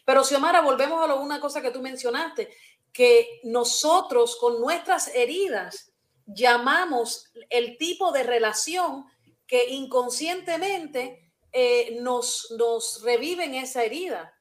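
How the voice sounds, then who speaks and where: A woman's voice: high voice, slow pace. A woman hosting a talk show, speaking earnestly and personally, often restarting her sentences. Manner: earnest, hesitant, with frequent restarts.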